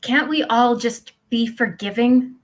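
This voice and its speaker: Woman acting slow, high-voiced, self-righteous praying mother. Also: close to an everyday voice. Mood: chatty, confiding. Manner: earnest, rambling, self-absorbed, chatty confiding prayer. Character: pious, self-congratulating mother praying aloud in a cafe. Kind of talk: live play reading.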